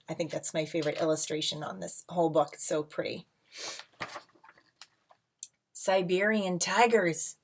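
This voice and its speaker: A woman reading a picture book aloud to children as a teacher, with dramatic emphasis, drawn-out words and casual asides. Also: medium pitch, darkish tone. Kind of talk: expressive storybook read-aloud with casual asides. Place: at home.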